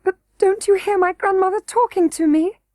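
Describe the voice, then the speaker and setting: A woman's voice: high-pitched, expressive, moderate pace, darkish tone, no room sound, pitched above the performer's own voice. A woman voicing a tearful, earnest little girl princess who pleads and sounds close to tears. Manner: pleading, breathless and eager.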